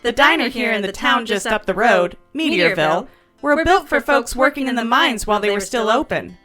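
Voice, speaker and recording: Sassy diner waitress lady, highish swoopy voice, bossy but folksy, audio drama. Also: expressive, sharp tone, faint music behind. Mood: chatty, wry. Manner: folksy, brisk, calls people hun. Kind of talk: audio drama comedy dialogue.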